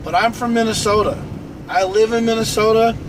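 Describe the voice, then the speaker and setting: High-voiced man rambling over traffic noise. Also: brisk. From North Dakota. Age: 40s. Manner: repetitive, restarts and repeats phrases. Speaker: livestream host. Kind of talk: rambling casual monologue. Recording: noisy outdoor traffic behind. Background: car and street noise.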